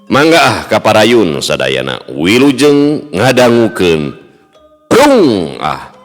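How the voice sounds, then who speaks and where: A man's voice: fairly low pitch, huge pitch swings, slow. A man with a Sundanese accent hosting and narrating folk tales in a casual storyteller style. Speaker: storyteller and host. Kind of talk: folk tale narration and casual host chat. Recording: long hall reverb, music underneath.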